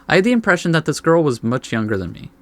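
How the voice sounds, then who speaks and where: A man's voice: a little high, very animated, quick pace, no echo. A man reading game text aloud as a let's play host, adding laid-back asides.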